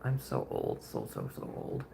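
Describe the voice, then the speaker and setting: A man's voice: very deep voice, huge pitch swings, a little slow, vocal fry, warm tone, a little hiss or hum. A man in his twenties, a streamer chatting casually and rambling with viewers during a painting livestream, chuckling often. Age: 20s.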